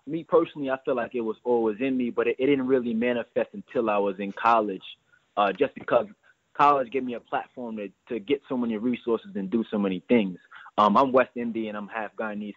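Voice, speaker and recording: A man's voice: lowish voice, dry recording. A young man in his twenties from New York, a guest talking earnestly on a phone interview, often repeating his words as he goes. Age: 20s. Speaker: guest entrepreneur. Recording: dry tinny phone line.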